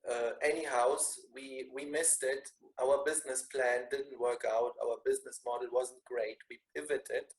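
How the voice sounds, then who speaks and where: A man's voice: mid-range voice, moderate pace, hardly any pauses, echoey room, rough recording. A middle-aged man from Bavaria with a German accent, introducing himself on a video call and often repeating words as he looks for them. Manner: relaxed, repeats words while searching for them. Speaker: meeting participant.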